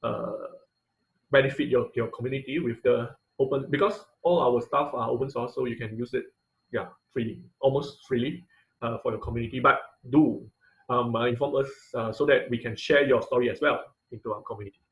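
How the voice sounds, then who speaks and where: A man teaching an informal online class and taking questions, speaking haltingly and often repeating phrases as he searches for words. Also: medium pitch, steady tone, poor audio. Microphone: online video-call audio.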